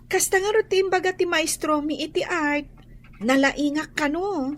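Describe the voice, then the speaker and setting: Woman playing a high-voiced, earnest young schoolgirl. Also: a little slow, fairly bright tone. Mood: eager. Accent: Filipino. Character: caring, earnest schoolgirl devoted to her blind brother. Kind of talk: Ilocano audio drama acting.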